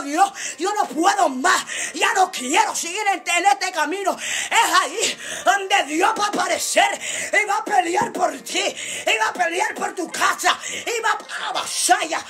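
Very high-pitched woman preaching fervently. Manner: emphatic, repetitive, declamatory; impassioned, often rising to shouting. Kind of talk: fervent Pentecostal-style sermon.

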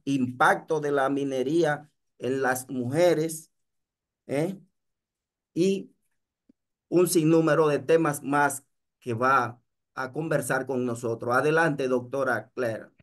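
A man, moderating a panel and introducing a speaker with warm, hesitant phrasing and fillers over a video call. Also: medium pitch. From Dominican Republic. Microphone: video call microphone.

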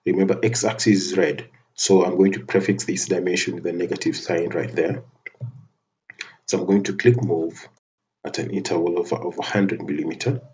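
Low-voiced guy talking thru a cad tutorial step by step, lots of uh and restarts, clicky mouse. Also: steady tone, moderate pace, darkish tone. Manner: methodical step-by-step narration with frequent restarts and fillers. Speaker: software tutorial instructor. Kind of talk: screen-recorded software walkthrough.

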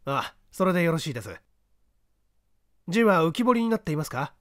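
A coolly assured detective, played by a male reader, speaks in a high, lively voice. He gives polite orders and explains like a patient teacher, in storybook dialogue. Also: brisk, no room sound, studio quality. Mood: commanding. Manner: polite, commanding, didactic. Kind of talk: audiobook character dialogue.

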